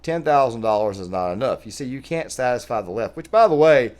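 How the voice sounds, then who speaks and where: A man's voice: mid-range voice, expressive, a little fast, a little mellow. A man with an American accent giving opinionated, emphatic commentary as a vlogger speaking to his viewers.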